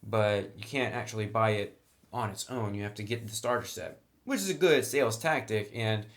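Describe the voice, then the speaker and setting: A man giving a casual spoken review of a tabletop game, enthusiastic, with lots of "actually" and "really" and quick restarts. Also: lowish voice. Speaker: product reviewer.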